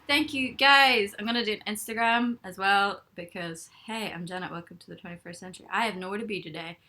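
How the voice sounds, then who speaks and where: Young woman, bright voice, rambling giggly live-stream chat from her bed. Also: medium pitch, brisk. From Ireland. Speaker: livestreamer. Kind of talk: casual live-stream chat, reading comments. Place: bedroom, in bed.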